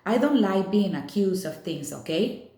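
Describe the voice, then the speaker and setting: An earnest young schoolgirl from a storybook, acted out by a woman reader. She is friendly but quick to take offence, and she speaks in an animated, insistent way. The room adds a clear echo. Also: mid-range voice. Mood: indignant.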